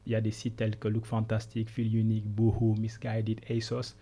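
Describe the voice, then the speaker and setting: A man's voice: lowish voice, monotone, normal speed, warm tone. A man in his thirties from Senegal, a podcast guest telling his career story in an earnest, motivational way. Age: 30s. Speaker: podcast guest, business consultant. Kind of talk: conversational interview.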